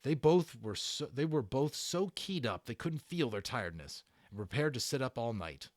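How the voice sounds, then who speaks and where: A man giving an expressive, dramatic read-aloud of a children's book as narrator. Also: medium pitch, normal speed, no echo, studio quality. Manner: expressive, performed reading. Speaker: narrator and voice actor.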